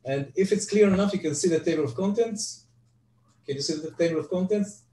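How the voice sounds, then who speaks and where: An older Hebrew-speaking man, giving an online spreadsheet lecture from home over a headset, halting with frequent restarts. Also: high voice, mellow, slight background noise. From Israel. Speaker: guest presenter. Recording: echoey, distant video call audio.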